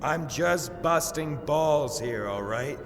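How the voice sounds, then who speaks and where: Cocky, swooping young warrior. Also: male voice, a little high, expressive, slow pace, fairly bright tone, slight background noise, heavily acted. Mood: casual, teasing. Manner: flippant, casual, boastful. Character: cocky, crude young male swaggering warrior. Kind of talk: fantasy audio drama acting. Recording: long reverb, music underneath.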